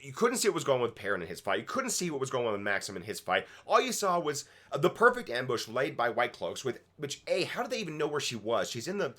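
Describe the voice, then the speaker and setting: A man's voice: mid-range voice, big pitch swings, quick pace, few pauses, fairly bright tone. A man casually giving opinionated commentary as co-host of a fan review show, emphatic and swearing freely. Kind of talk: casual opinionated episode discussion.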